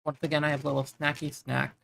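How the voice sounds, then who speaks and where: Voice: mid-range voice, little pitch movement, quick pace, gravelly, fairly bright tone. A streamer giving informal, rambling live commentary on a game, thinking aloud. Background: game music.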